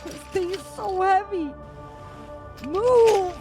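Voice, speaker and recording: Woman acting a high-voiced, halting, distressed trapped woman. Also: full character voice. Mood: frustrated, desperate. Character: trapped, panicked, frustrated woman pinned under wreckage. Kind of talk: audio drama acting. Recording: music behind the voice.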